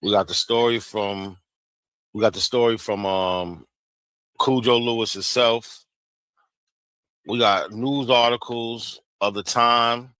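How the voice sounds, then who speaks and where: Brief: man with sharp, bright voice, slangy rhetorical talk, dry recording. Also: medium pitch, steady tone, slow pace, pauses often, clean tone, no room sound. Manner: slangy, rhetorical questions, restarts. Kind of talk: casual commentary.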